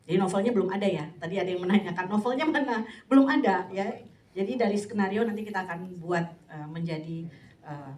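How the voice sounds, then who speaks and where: A woman's voice: mid-range voice, a little fast, darkish tone. Woman speaking in an echoey, faintly noisy room. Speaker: novelist and film project spokesperson. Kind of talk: informal thank-you remarks at press conference. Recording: echoey room, distant mic, faint noise.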